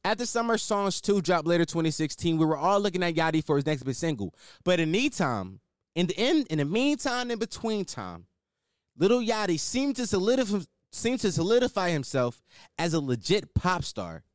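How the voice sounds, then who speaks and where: A young man with a high voice talks fast and bluntly on his own podcast, swearing and riffing as he goes. His pitch leaps all over the place. The sound is dry and close, with no room around it. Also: very animated, clear, resonant tone, no room sound. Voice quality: higher-pitched voice. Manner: opinionated, blunt, swears, restarts and riffs. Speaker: podcast host. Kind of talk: solo podcast commentary.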